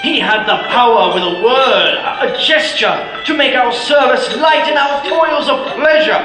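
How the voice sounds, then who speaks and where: An actor playing an elderly miser in a radio drama, stammering and swinging between hushed remorse and giddy delight. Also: male voice, very high-pitched, unhurried, over music. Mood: earnest. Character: elderly miser man, shaken and regretful. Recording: echoey, faint hiss.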